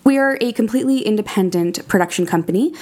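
A woman's voice: mid-range voice, fast talker, darkish tone. A woman speaking as an interview guest, explaining things in an articulate, conversational way. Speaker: podcast critic and audio drama creator, guest.

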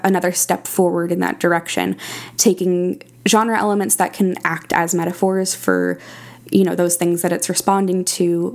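A woman's voice: on the low side, a little mellow. A woman speaking conversationally as an interview guest, enthusiastic, thoughtful and articulate. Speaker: interview guest, writer and audio drama creator.

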